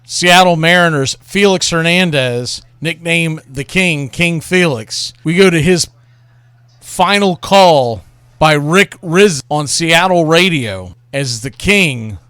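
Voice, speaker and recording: A man's voice: on the high side, lively intonation, takes it slowly, fairly bright tone, smooth, no echo. A man hosting a radio show, talking casually and enthusiastically about classic baseball calls like a storyteller.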